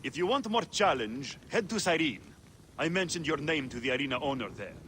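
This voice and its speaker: A man's voice: fairly high pitch, big pitch swings, fairly quick, a bit bright, a little hiss or hum. An actor playing a greedy, boastful arena fight promoter in a video game, talking like a smug, wheedling showman. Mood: smug, scheming. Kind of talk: video game cutscene acting.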